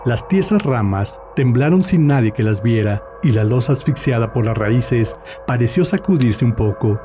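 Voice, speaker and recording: Guy narrating a dark audiobook, dramatic, mid pitch, music under it.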